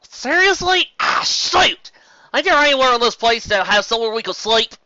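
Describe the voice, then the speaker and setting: A man's voice: very high-pitched, expressive, moderate pace, bright, forceful tone, no echo, highs cut off, voice pushed up, full character voice. A man voicing a blunt, folksy young female country pony in a storybook. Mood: frustrated. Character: plain-spoken young country mare, frank and folksy.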